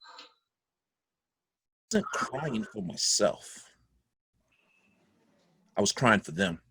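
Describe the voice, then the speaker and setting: A man's voice: lowish voice, dry recording, studio quality. An actor playing a dead father's ghost, a folksy, doting, guilt-ridden man telling his story in a confiding, emphatic way in a play reading. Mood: remorseful. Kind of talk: theatrical monologue in a stage play reading.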